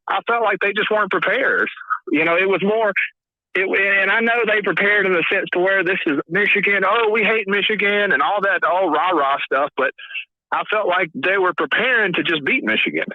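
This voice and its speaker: A man's voice: fairly high pitch, no room sound. A man from Mississippi calling in to a sports talk show, rambling with many restarts and fillers. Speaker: caller. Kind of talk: sports call-in commentary. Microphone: phone. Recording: tinny phone line.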